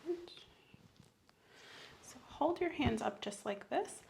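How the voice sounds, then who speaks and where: A soft-spoken woman playing a medical examiner in an ASMR roleplay, gently giving instructions and encouragement. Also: high voice, lively intonation, takes it slowly, vocal fry, slight background noise.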